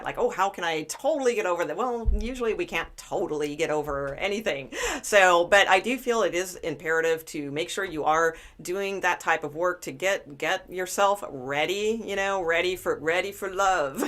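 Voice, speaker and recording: A woman with a notably low, deep-set speaking voice reads tarot cards for a live audience. She talks in a loose, chatty way, restarting her sentences and leaning on fillers as she thinks aloud. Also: moderate pace, few pauses, a bit bright. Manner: chatty and rambling, many fillers and restarts, greets viewers. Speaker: tarot reader. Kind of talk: live-streamed tarot reading.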